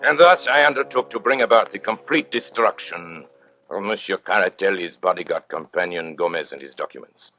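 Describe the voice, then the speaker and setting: An actor playing a suave, vain, cold-blooded master criminal, speaking with pompous, precise courtesy in old-time radio suspense style. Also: male voice, low voice, fairly quick, over music, natural voice. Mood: coolly recounting.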